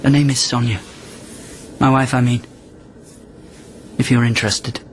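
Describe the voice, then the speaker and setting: An actor playing an angry, desperate astronaut commander held in quarantine, sarcastic and emotional, in a science fiction radio drama. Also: male voice, medium pitch, fairly even intonation, unhurried, slight background noise, lightly acted. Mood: subdued.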